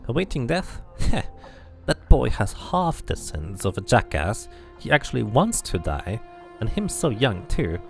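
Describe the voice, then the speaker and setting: A man's voice: mid-range voice, wildly swooping pitch, unhurried, vocal fry, soft background music, heavily acted. A man voicing a cranky, scornful old woman who gossips and mutters insults in a fantasy game.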